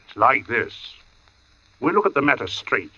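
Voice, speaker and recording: A man's voice: mid-range voice, very animated, moderate pace, pushed and bright, slightly rough, no room sound, heavily acted. An actor playing an ominous working man, intensely serious and clipped, in an old-time radio drama. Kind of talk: 1940s radio drama acting. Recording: muffled old radio recording.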